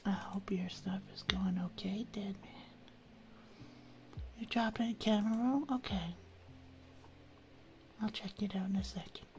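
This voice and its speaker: A woman hosting an ASMR live stream, chatting casually and affectionately to viewers in a soft, breathy voice that often drops into a whisper. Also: mid-range voice, slight background noise. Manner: affectionate, intimate, uses pet names.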